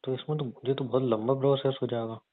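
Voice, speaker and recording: A man's voice: mid-range voice, level tone, fast talker. A young man in a casual, bantering relationship phone call, talking in a slangy, coarse and insistent way. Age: young adult. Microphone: phone line. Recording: tinny narrowband phone call.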